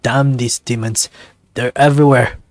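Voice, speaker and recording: A man's voice: mid-range voice, steady tone, moderate pace, soft background music, close to an everyday voice. A man voicing a solemn lone tiger samurai warrior in a video game within a visual novel, speaking in grave, formal declarations. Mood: frustrated.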